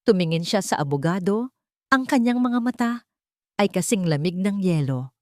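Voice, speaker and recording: Woman reading a dramatic love story, kinda low voice, dry close sound, measured suspenseful phrasing. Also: lively intonation, a little mellow, dry recording. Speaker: storyteller, narrator. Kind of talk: dramatic scripted romance story narration.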